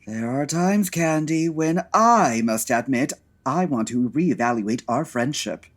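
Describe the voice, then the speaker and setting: A droll, fussy sidekick from a comic detective radio play, played by a man. His voice is husky and swoops expressively, with a wry, aggrieved manner. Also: medium pitch, animated, unhurried. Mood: wry grumbling. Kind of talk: comedic 1940s radio detective acting.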